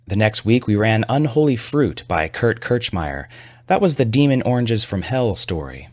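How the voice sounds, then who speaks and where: A man's voice: on the low side, sing-song, moderate pace, dry recording. A man reading horror fiction and hosting a story podcast. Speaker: podcast host and story narrator.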